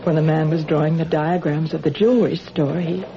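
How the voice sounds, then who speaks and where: A guilty nervous wife in an old radio play, kinda pleading and halting, muffled with hiss. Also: female voice, deep voice, steady tone. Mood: confessing, distressed. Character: contrite, anxious married woman who compulsively steals. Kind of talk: 1970s radio drama acting.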